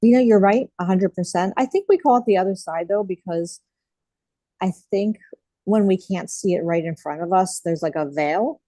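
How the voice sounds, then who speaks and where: A woman's voice: medium pitch, normal speed, no room sound. A woman hosting an online group share over a video call, chatting casually with many fillers. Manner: warm, chatty, frequent fillers. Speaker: group host.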